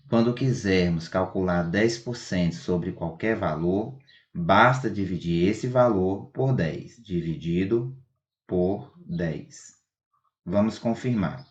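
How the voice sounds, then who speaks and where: A man's voice: deep voice, lo-fi sound. A man teaching a math lesson in a methodical, step-by-step, didactic way. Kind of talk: instructional video lesson explanation.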